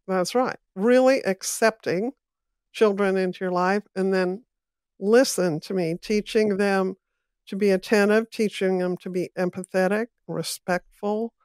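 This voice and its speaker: A woman's voice: mid-range voice, fairly even intonation, darkish tone, smooth, no echo, clean studio sound. A woman hosting a podcast, speaking thoughtfully and affirmingly as she reflects on a text. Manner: thoughtful, affirming, slow and measured. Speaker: podcast host. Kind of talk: podcast interview conversation.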